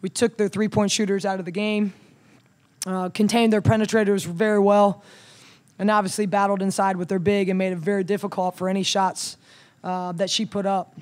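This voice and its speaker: A woman in her thirties, a head coach, talking through a game at a postgame press conference in a measured way, with many ums and restarts, into a table mic. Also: medium pitch, flat delivery, darkish tone. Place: press room in front of a logo backdrop. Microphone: table mic on a stand.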